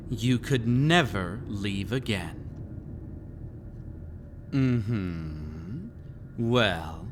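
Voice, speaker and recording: A man performs a sinister, ancient master of a haunted estate for an audio drama. He speaks slowly, savouring each taunt with theatrical, playful menace. His pitch swoops expressively, and faint ambient noise sits behind the voice. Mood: sly, sinister. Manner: teasing, taunting, theatrical, with frequent sly chuckles.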